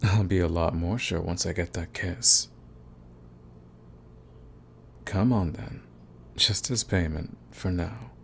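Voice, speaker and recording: A man's voice: deep voice, steady tone, a little slow, vocal fry, slight background noise. A man playing a cocky, flirty villain wooing an enemy in a teasing, intimate romance audio roleplay.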